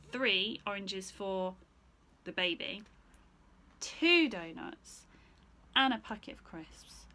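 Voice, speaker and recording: A woman voicing an exasperated mother scolding her child in a children's storybook, loud and emphatic. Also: fairly high pitch, full character voice.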